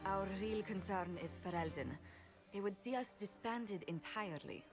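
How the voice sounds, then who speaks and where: A woman's voice: mid-range voice, normal speed, close to an everyday voice. A woman voicing a poised, careful diplomatic adviser in a video game, composed, formal and precise. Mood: composed, cautioning. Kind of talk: video game cutscene acting. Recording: loud background music.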